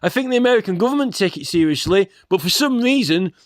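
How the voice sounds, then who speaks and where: A man speaks in a noticeably high voice, recorded close and dry. He rambles informally like someone airing a complaint, pressing each point insistently and checking it as he goes. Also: animated, fast talker, no echo. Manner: aggrieved, insistent, repeatedly tags points with "right?". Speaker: complainant campaigning about aviation safety. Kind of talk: rambling informal spoken monologue.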